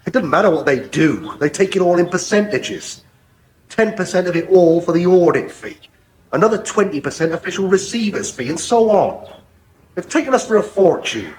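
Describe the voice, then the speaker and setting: An actor playing a proud, bitter bankrupt farmer with a regional English accent in a 1980s radio soap. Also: male voice, sits high, animated, moderate pace. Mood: bitter, dejected. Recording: faintly noisy old radio broadcast.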